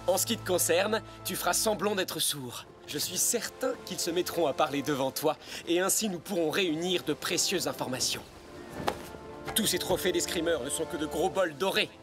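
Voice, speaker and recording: A man's voice: on the high side, normal speed, close to an everyday voice. An actor playing a gallant, eager young nobleman in a French cartoon, with a polished, theatrical delivery. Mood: scheming, resolute. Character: spirited, gallant young nobleman hero, eager and warm-hearted. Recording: music under the voice.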